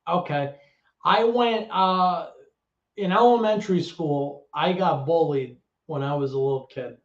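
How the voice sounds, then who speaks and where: A man, telling stories as a guest in a casual interview chat. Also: a little high. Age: 30s. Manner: animated storyteller with quick asides. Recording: echoey lo-fi call audio.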